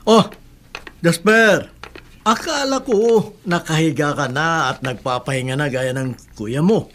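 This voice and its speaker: A worried Filipino working father of grown children in a radio drama. His voice sits notably high for a man, and he pleads and admonishes like someone long burdened. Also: measured pace, a bit bright, a little hiss or hum.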